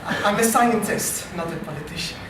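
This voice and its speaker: A man with a French accent, an AI researcher hosting a live improv comedy show, talking in a dry, self-deprecating way with halting restarts. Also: high voice, noisy background. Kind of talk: live comedy stage talk. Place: live comedy meetup venue. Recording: distant, very echoey live venue.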